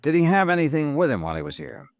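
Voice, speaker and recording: An actor playing a terse, businesslike American investigator who questions and narrates in a matter-of-fact 1950s radio drama style. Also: male voice, fairly high pitch, big pitch swings, racing, gravelly, slight background noise. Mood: probing. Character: terse, businesslike male investigator narrating his missing-person case. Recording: old radio drama with music behind.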